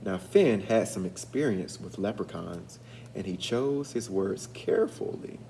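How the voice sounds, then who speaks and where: A man with a lowish voice reads a storybook to schoolchildren. He takes his time and puts questions to his young listeners. Some room noise sits behind him. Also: lively intonation, a little slow, vocal fry, warm tone, rough recording. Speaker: educator reading aloud to schoolchildren. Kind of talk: storybook read-aloud.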